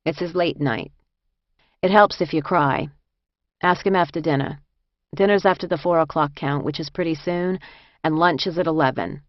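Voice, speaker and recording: A woman's voice: sits low, normal speed, long pauses, no room sound, muffled recording, natural voice. Woman doing a practical middle-aged Jersey inmate. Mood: matter-of-fact. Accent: New Jersey. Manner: matter-of-fact, practical. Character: small, tired fifty-ish New Jersey woman inmate, helpful. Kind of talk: audiobook character dialogue.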